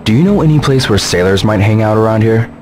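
Low, earnest young man played by an actor. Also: lively intonation, fast talker, darkish tone, close to an everyday voice. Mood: polite, earnest. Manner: stiff, polite, reserved. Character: earnest, polite teenage male martial artist on a search. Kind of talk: English video game voice acting.

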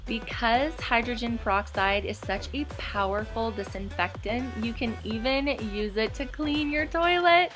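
A woman's voice: a little high, unhurried, few pauses. A woman in her thirties giving a chatty, bubbly voice-over for a home cleaning tutorial, with light laughs. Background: soft background music.

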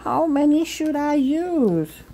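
A woman's voice: high-pitched, very animated, slow pace, mellow, voice pushed up, exaggerated voice. A woman voicing an earnest, persuasive little girl in a storybook, speaking formally and inquisitively. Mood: puzzled.

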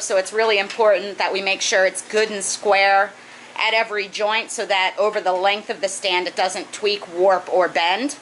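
A woman in her thirties chats through a building project in a basement room, her voice mid-pitched and easygoing. She explains each step plainly, dropping in the odd "um" and "uh". A faint noise sits under her voice. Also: fairly bright tone, rough recording. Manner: chatty, explains step by step with fillers. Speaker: aquarium hobbyist vlogger. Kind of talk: casual explanatory narration. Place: basement fish room, indoors.

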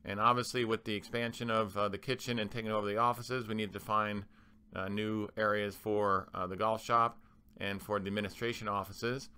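A man giving a halting, explanatory voice-over for a slide presentation, speaking as a manager laying out plans. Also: lowish voice, level tone, pushed and bright, clear, resonant tone.

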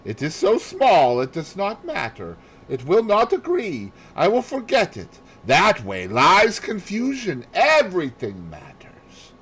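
A man voicing a fussy, excitable little Belgian detective in an audiobook, theatrical and precise, dropping in French phrases. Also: high voice, unhurried, hardly any pauses, husky, pitched above the performer's own voice, heavily acted, rough recording. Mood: emphatic. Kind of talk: audiobook character voice.

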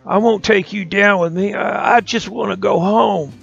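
Scared little devil boy begging , a guy doing it, high meek voice, muffled sound. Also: steady tone, moderate pace, creaky, slightly rough, faint music behind, exaggerated voice. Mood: pleading. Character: small frightened young male devil, pleading. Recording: dull lo-fi recording.

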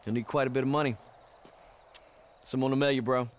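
An actor playing a vain, laid-back celebrity cult devotee in a video game, breezy and self-satisfied bro talk. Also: male voice, medium pitch, fairly even intonation, fairly quick, close to an everyday voice. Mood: breezy, gleeful. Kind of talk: video game cutscene acting.

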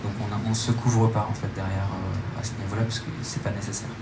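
A young man answers questions on stage in a low voice with very little melody. His phrasing is halting and informal. The hall is strongly echoey around him. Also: little pitch movement, over loud music. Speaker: startup presenter answering audience questions. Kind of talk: informal Q&A presentation. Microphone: handheld microphone, recorded by camera in room. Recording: echoey hall, loud room noise.

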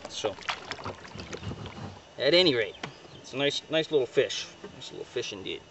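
A man's voice: medium pitch, lively intonation, moderate pace, a bit bright. A young man in a boat on a lake outdoors, chatting casually to the camera about his catch, upbeat and full of fillers. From northern Ontario. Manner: chatty, upbeat, fillers like right and you know. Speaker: fishing guide showing a catch. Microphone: camera held by a companion a few feet away. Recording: distant outdoor camera audio with noise.

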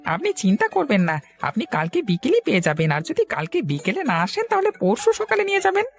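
A cheeky, deferential village laundry worker in a cartoon. The voice is high and gravelly, swinging widely as it teases and tries to please. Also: female voice, huge pitch swings, brisk, full character voice. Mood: obliging. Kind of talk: children's cartoon voice acting. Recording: music behind the voice. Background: music.

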